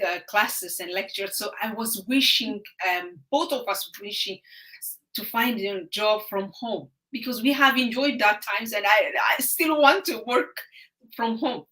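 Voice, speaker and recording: Woman from Ethiopia, 30s, bright clear tone, halting, video call. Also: medium pitch, poor audio. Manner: hesitant, halting, frequent uh fillers. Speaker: guest. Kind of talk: casual live-stream interview.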